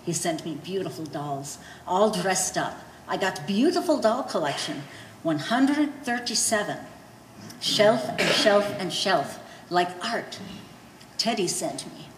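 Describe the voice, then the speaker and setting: Woman acting an animated old Polish mother, echoey hall. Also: fairly low pitch, takes it slowly. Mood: proud, fond. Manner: blunt, broken English with dropped articles. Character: old Polish immigrant mother, blunt, scornful, fond of her son. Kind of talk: one-act stage play performance. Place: gallery stage performance, indoors. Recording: echoey hall with faint noise.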